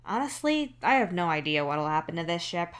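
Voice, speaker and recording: A woman's voice: lowish voice, very animated, fairly quick, smooth. A woman playing a caring, earnest young male alien in audio fiction, speaking casually and openly. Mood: casual, rambling. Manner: soft-hearted, casual, open. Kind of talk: scripted audio fiction dialogue.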